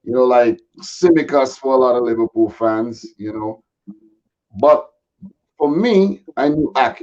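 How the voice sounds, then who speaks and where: A man with a slightly raspy voice chatting casually and in a laid-back way as a guest football pundit. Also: fairly low pitch, dark tone. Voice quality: a little raspy. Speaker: guest football pundit, Manchester City fan. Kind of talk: casual chat. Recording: dull, lo-fi audio.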